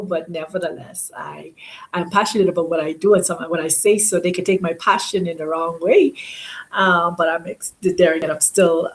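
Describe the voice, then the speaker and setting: A woman from the Bahamas hosting an online channel, talking in an informal monologue and often pausing to search for words. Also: lowish voice, poor audio.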